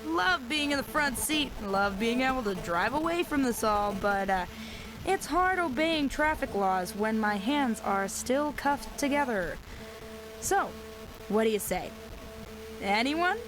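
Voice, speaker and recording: Wry, slow-talking apocalypse survivor. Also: female voice, on the high side, expressive, low-quality recording. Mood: joking, hopeful. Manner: wry, joking, rambling, conversational. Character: stranded, handcuffed young woman survivor, wry and rambling. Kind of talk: audio drama monologue sent as a radio broadcast.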